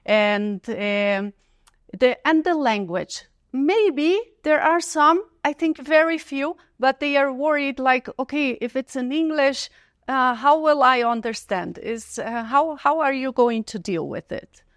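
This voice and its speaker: A woman's voice: sits high, unhurried, no echo, clean studio sound. A woman with a Brazilian Portuguese accent, a professor hosting an interview in English, friendly and encouraging with frequent affirmations. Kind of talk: interview host.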